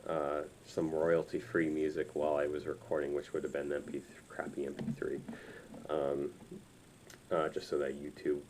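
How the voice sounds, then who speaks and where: A man's voice: a bass voice, completely flat delivery, normal speed, background noise. A man in his twenties chatting casually to camera in a hesitant, rambling, informal way as he gives a review. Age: 20s. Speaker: reviewer of audio gear. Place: small room indoors.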